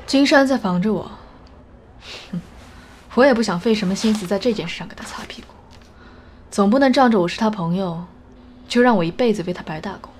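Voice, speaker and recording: Woman playing shrewd female executive, middle pitch, composed, matter-of-fact, audio drama, faint noise. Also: fairly quick, pauses often, natural voice. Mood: cool, resentful. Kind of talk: Chinese audio drama acting.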